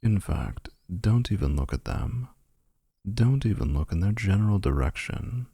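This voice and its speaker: A man's voice: sits low, vocal fry, warm tone, slightly rough, dry recording, full character voice. A man voicing a snarky, possessive male vampire suitor in a boyfriend ASMR roleplay, mocking with dry sarcasm.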